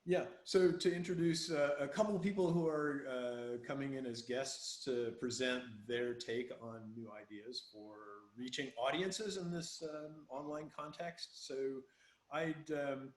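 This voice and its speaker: A man hosting an online call, making informal introductions in halting, hesitant phrases. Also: medium pitch. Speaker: session host. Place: roomy space, video call. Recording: echoey room on video call.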